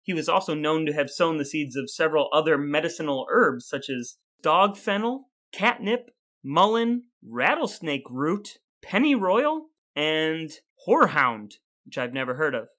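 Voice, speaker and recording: Lively, bright-voiced joking man. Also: fairly high pitch, measured pace, few pauses. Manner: playful, quizzes and jokes. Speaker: podcast co-host. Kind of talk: casual chat.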